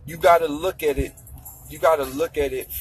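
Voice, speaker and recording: A man's voice: on the high side, steady tone, normal speed, over music, low-quality recording. A man in his thirties, ranting casually to camera with blunt, profane money advice and lots of repetition. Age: 30s. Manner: blunt, profane, lecturing, repeats himself for emphasis. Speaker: vlogger giving money advice. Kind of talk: casual motivational rant to camera.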